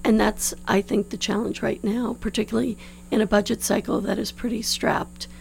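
Woman, 50s, candidate in an interview. Gravelly, slightly hoarse, earnest and explanatory, mid-pitched, with background hum. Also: little pitch movement, moderate pace, darkish tone. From New Orleans, United States. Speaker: political candidate being interviewed. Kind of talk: conversational interview answers. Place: radio studio. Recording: clear background hum and noise.

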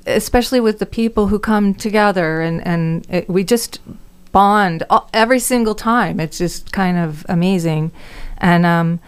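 A woman's voice: medium pitch, normal speed, clean tone. An older woman, a volunteer radio actress, answering interview questions with self-deprecating humor and frequent laughs.

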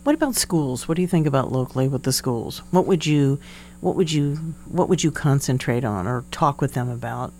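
Low-key hum behind a woman interviewer. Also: normal speed, a little mellow. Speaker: radio interviewer. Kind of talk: conversational interview hosting. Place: radio studio. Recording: background hum and noise.

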